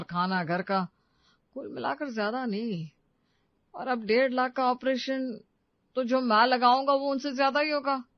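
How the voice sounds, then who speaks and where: Man acting a high-pitched, calculating miserly son. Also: dry recording, dull audio, lightly acted. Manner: measured, matter-of-fact reckoning. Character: cold, calculating miserly adult son, detached. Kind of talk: dramatized Hindi moral story.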